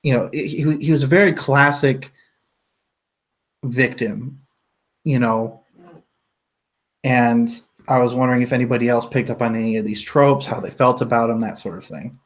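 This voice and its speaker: A man's voice: mid-range voice, moderate pace, long pauses. A man hosting a casual livestream book discussion, explaining his points as he thinks them through aloud. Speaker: book club host leading a discussion.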